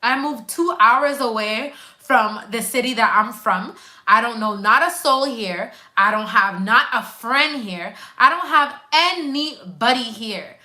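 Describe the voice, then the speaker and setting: A woman's voice: fairly high pitch, animated, measured pace, bright, forceful tone. A woman in her twenties, a nurse hosting a casual live stream, chatting animatedly and talking straight to her viewers while reading out their comments. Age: 20s. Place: at home on a couch.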